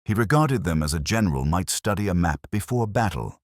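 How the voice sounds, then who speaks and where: A man with an extremely low voice narrates a scripted detective audiobook in a formal, measured way. His pitch moves expressively from phrase to phrase, and the sound is dry. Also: lively intonation, no echo. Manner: formal, measured, literary storytelling.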